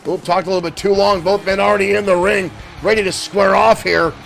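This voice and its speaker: Gravelly-voiced man calling wrestling action. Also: high-pitched, fairly even intonation, a little fast, faint music behind. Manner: shouts calls at big moments. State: losing his voice. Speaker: wrestling play-by-play commentator. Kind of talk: excited play-by-play commentary.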